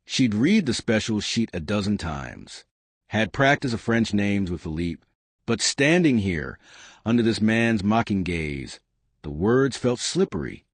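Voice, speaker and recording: A male narrator telling a dramatic story with lively storytelling delivery. His voice sits on the low side and moves widely in pitch, recorded close and completely dry. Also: measured pace, husky, dry recording.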